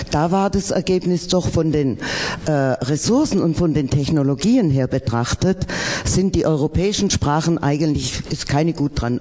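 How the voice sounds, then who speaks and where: A man's voice: on the high side, a little mellow. Earnest hesitant man on a panel. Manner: hesitant, many fillers, earnest. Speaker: academic panelist. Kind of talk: panel discussion.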